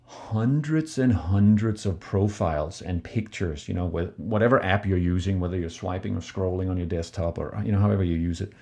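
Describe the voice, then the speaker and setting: A middle-aged man, co-hosting a podcast in relaxed, conversational talk with frequent fillers. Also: lowish voice. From Denmark. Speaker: podcast co-host.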